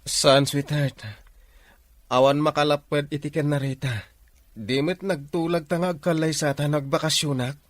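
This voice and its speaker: A man's voice: on the high side, steady tone, normal speed, no room sound, close to an everyday voice. A man playing a devoted, determined young suitor in an audio drama, earnest and respectful. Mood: tender. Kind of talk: Ilocano audio drama acting.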